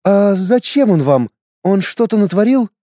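A man's voice: high voice, animated, measured pace, dark tone, dry recording, clean studio sound, pitched above the performer's own voice. A man reading an audiobook, voicing a frightened village mother who pleads with armed men. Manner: scared, pleading, breathless.

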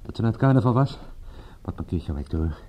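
A man's voice: fairly low pitch, animated, fast talker, dull audio. An actor playing a tough, impatient and shrewd male private detective in a radio drama, commanding and full of pointed questions. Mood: impatient, irritated. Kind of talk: radio drama acting in Dutch.

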